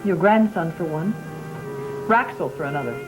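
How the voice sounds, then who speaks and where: A woman's voice: lowish voice, lively intonation, normal speed, lightly acted, poor audio. An actress playing a resolute, unafraid young bride-to-be in a gothic soap opera, composed and firm in her delivery. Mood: defiant. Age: young adult. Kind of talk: 1960s-70s gothic soap opera acting. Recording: old TV soundtrack with background music.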